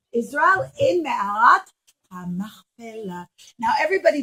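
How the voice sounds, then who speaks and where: A woman teaching young children a religious story in an expressive way, acting out the dialogue and putting questions to her listeners. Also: fairly high pitch, slightly rough, low-quality recording. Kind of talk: storytelling puppet show lesson for young children.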